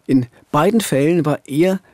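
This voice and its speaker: Husky man presenting true crime. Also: on the high side, moderate pace, darkish tone, no room sound. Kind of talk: conversational scripted crime narration. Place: indoor radio studio. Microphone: studio boom microphone.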